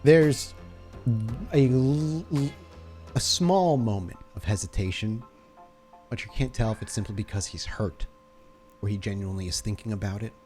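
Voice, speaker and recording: A man's voice: on the low side, normal speed, darkish tone, soft background music. A man narrating a tabletop roleplaying game as storyteller, describing scenes like film shots.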